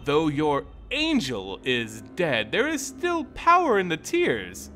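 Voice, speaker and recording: A man's voice: a little high, wildly swooping pitch, slow, sharp tone, faint music behind, full character voice. A man voicing a haughty female vampire lord in a fantasy story, coy, mocking and condescending. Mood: smug.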